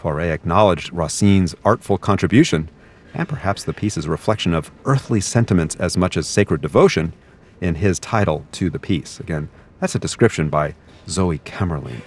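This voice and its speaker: A man with a low, deep voice introduces a classical concert on the radio. His scripted narration is measured and even, the steady pacing of a seasoned broadcast announcer. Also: husky. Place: concert venue broadcast booth.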